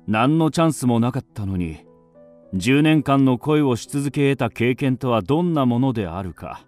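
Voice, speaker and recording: A man's voice: mid-range voice, a little mellow. An actor playing a wry, lofty writer who narrates his youth in a literary radio drama.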